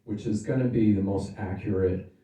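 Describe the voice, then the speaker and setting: A man with a fairly low, somewhat mellow voice talks through a product demo. His delivery is informal and explanatory, like a gear reviewer chatting to viewers. The sound is noticeably roomy. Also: steady tone, moderate pace. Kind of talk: casual product demo talk.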